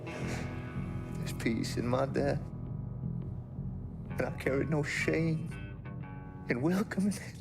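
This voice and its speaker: An actor playing an unrepentant, fanatical cult follower in a game, grave and declaiming, with a gravelly voice. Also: male voice, medium pitch, wildly swooping pitch, slow, long pauses, over music, exaggerated voice. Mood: proud, resigned. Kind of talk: dramatic game cutscene acting.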